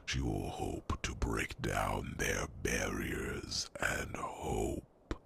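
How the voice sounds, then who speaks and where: Huge gravelly dragon, extremely deep. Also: male voice, fairly even intonation, fairly bright tone, exaggerated voice. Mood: pondering. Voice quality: gravelly, breathy half-whisper. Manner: slow, solemn, formal phrasing with pondering hums. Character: huge, wise old male dragon, calm protective mentor. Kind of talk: ASMR fantasy audio roleplay.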